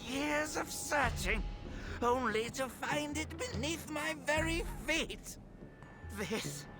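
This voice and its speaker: A man playing a zealous, brooding temple scholar in a fantasy video game, speaking in measured, theatrical, formal phrases. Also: very high-pitched. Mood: manic, exultant. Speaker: video game character actor. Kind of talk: dramatic fantasy game dialogue.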